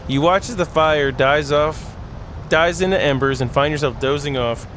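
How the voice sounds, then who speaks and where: A man's voice: fairly high pitch, normal speed. A man doing casual let's play commentary and reading a visual novel aloud, with playful asides and dramatic reading. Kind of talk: casual gameplay commentary and read-aloud narration. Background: game music.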